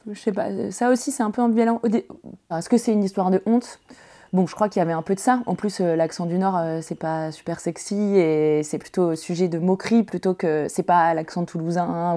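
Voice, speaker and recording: A woman's voice: lowish voice, fairly even intonation, brisk, dark tone. A woman from northern France in her thirties, chatting as a podcast guest, hesitant, with many fillers and restarts. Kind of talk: casual interview chat.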